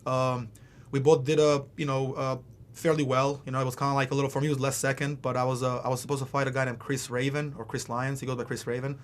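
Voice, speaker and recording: A man's voice: medium pitch, level tone, fast, slight background noise. A man who grew up in Philadelphia after early years in Albania, a mixed martial arts fighter talking casually as a podcast guest, rambling with many fillers. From Albania, raised in Philadelphia. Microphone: studio podcast mic.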